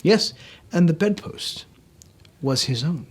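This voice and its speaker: A man's voice: on the high side, lively intonation, takes it slowly. A man narrating a classic story as a storyteller, reading expressively from a script. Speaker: storyteller, reader.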